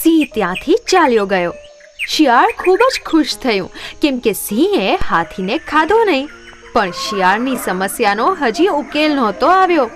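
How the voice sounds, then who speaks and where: A woman's voice: high-pitched, very animated, normal speed, music in the background. A woman narrating scripted moral stories for children.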